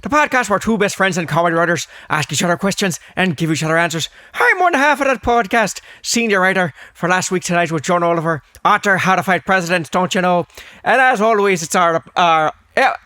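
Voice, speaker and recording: A man putting on a loud, hammy Northern Irish accent as a podcast host. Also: high voice, animated, fairly quick, no room sound, studio quality, pitched above the performer's own voice. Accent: put-on Northern Irish. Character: man hamming up an Irish brogue.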